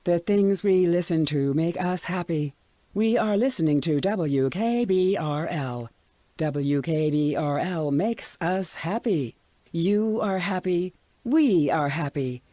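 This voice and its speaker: Eerily cheerful station announcer for radio ads; soft mellow tone, dry booth sound. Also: female voice, on the low side, takes it slowly, no room sound. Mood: eerily soothing.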